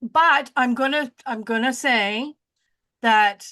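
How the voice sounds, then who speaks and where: A woman's voice: fairly high pitch, slow pace, sharp tone. A woman in her sixties co-hosting a podcast, chatting casually and rambling, often losing her train of thought and joking at her own expense. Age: 60s. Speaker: podcast co-host. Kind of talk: casual chat.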